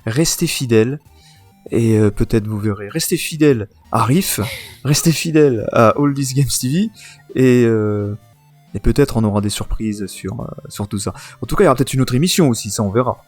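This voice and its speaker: A man's voice: medium pitch, normal speed, a little mellow. Male podcast host, casual and informal, mid-range voice over background music. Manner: laughing often, informal. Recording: music playing behind the voice.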